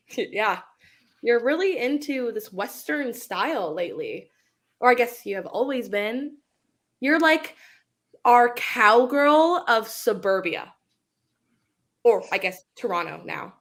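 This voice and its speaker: A woman's voice: a little high, sing-song, takes it slowly, pauses often, fairly bright tone, full character voice. A woman acting a chatty, friendly young thrift store clerk in a dramatic script reading. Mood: curious, casual. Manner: casual, chirpy.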